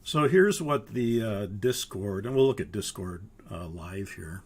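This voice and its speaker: An older man with a hoarse, raspy voice from a cold leads an online pilot course. His voice sits low and gravelly. He explains things haltingly and fills his pauses with "uh.". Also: lively intonation, darkish tone. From Minnesota, US. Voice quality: gravelly, husky. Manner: halting, with frequent uhs. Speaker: flight training instructor. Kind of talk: live online course walkthrough.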